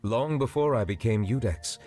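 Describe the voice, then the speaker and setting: An actor playing a solemn, formal male judge in a video game, speaking in a measured, courteous way. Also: medium pitch, mellow, dry recording, close to an everyday voice. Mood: formal, calm. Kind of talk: game character dialogue. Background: game music.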